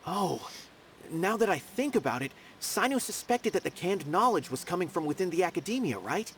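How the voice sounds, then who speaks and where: A man's voice: sits high, very animated, normal speed, a bit bright, slight background noise, heavily acted. A man playing a loyal, earnest and polite young investigator in a video game. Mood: thoughtful, resolute. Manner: earnest, emphatic.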